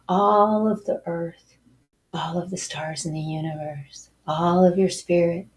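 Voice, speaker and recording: A woman leading a guided healing meditation, talking softly and slowly and drifting into breathy whispers. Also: lowish voice.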